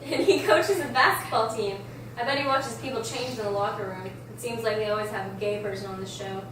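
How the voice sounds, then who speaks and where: A woman's voice: medium pitch, a little fast, a bit bright, natural voice. A woman reading the part of a snide, mocking sister at a script table read, in a noisy, echoey room. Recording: echoey room, distant mic, clear noise.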